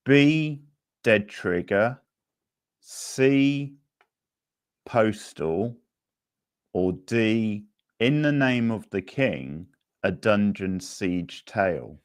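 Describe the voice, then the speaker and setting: Deep-voiced man hosting a casual quiz. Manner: chatty, rambling, thinking aloud. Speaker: quiz host.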